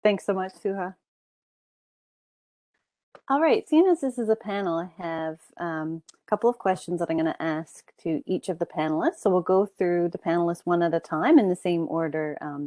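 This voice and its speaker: A woman hosts an online panel in a gentle, slightly low voice. She introduces each speaker warmly, with plenty of "um"s along the way. The sound is close and dry, with no room echo. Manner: friendly, filler-heavy introductions. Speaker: panel moderator.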